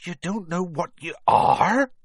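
Big gruff storybook giant, rustic talk, husky, swings up and down a lot, hot tempered but kind. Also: male voice, huge pitch swings, moderate pace, pushed and bright, dry recording, clean studio sound, heavily acted. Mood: shocked, exasperated. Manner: rustic dialect, booming when angry. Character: huge gruff giant man, hot-tempered yet kindly.

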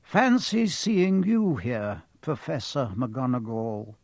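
A man voicing a very old, courteous wizard for an audiobook, gentle, genial and measured. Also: medium pitch, very animated, gravelly, no room sound, exaggerated voice. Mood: gentle, amused. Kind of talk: audiobook character voice.

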